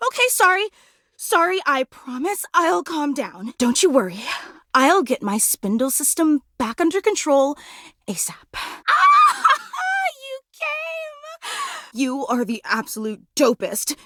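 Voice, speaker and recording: Game role: starstruck female superfan, high and very animated, breathlessly gushing, flustered, apologetic. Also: no echo, studio quality, full character voice. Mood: overexcited, flustered, then flirty, flattered. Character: giddy, starstruck female electric fan, adoring and apologetic superfan. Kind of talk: video game character acting.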